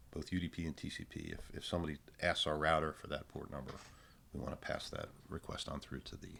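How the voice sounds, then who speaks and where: A man giving a casual, unscripted technical walkthrough, thinking aloud in an informal, halting way. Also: a bass voice, vocal fry, fairly bright tone. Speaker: presenter of a technical tutorial.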